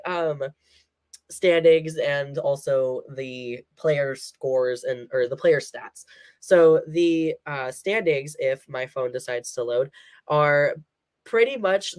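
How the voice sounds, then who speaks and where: Congested chatty male sports host. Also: a little high. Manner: chatty, rambling, laughs between phrases. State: congested from a cold. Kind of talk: casual solo sports rundown.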